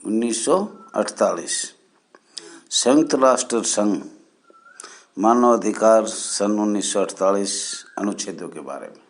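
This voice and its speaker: A man from India hosting a channel, explaining history in a deliberate, halting way with short phrases and formal honorifics. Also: lowish voice. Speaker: channel host. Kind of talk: spoken history explainer for viewers.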